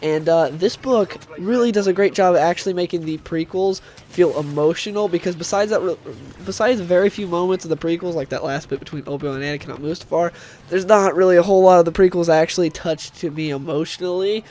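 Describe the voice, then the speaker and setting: A young man with a stuffed-up, nasal voice from a cold talks a little high for a man, rambling through a casual comic review. He keeps stopping to restart his sentences and fills gaps with ums and uhs. A faint hiss of noise sits under the recording. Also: fast talker, a little mellow. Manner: rambling, with frequent fillers and restarts.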